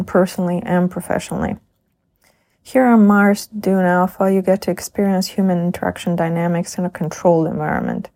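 A woman's voice: on the low side, vocal fry, warm tone. A middle-aged woman, a crew member in a simulated Mars habitat, reflecting thoughtfully and earnestly in an audio log.

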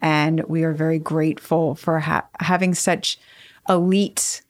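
Lowish-voiced woman scientist in a dry room. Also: flat delivery, measured pace, no echo. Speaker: principal investigator, scientist guest. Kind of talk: explanatory interview answers.

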